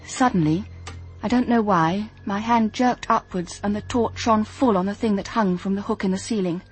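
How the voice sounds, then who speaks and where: An actress playing an earnest, observant young detective, in radio drama dialogue and first-person narration. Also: female voice, medium pitch, normal speed, over music, natural voice, low-quality recording. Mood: tense. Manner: earnest, thoughtful, literate narration.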